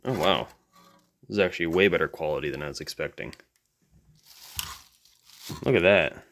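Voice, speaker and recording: A man's voice: very deep voice, moderate pace, a bit bright. A man giving casual, off-the-cuff hands-on commentary as a hobbyist video host, musing and thinking aloud as he goes.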